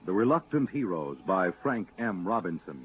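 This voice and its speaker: A man's voice: low-pitched, very animated, normal speed, heavy background noise. An actor narrating a 1950s science fiction radio drama as a formal, grave, resonant male announcer. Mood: grave, dramatic. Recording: muffled 1950s radio recording, music behind.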